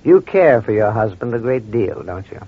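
An actor playing a courteous, probing crime doctor in an old-time radio drama, speaking in a measured, formal, reassuring way. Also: male voice, fairly low pitch, very animated, husky, dry recording. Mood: calm, concerned. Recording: muffled vintage radio recording.

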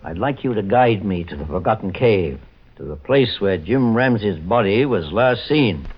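An actor playing a shrewd, formal American investigator, probing and commanding, in an old-time radio drama. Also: male voice, lowish voice, lively intonation, moderate pace, very noisy, natural voice. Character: shrewd, authoritative male private investigator, calmly probing. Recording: dull 1940s-50s radio recording.

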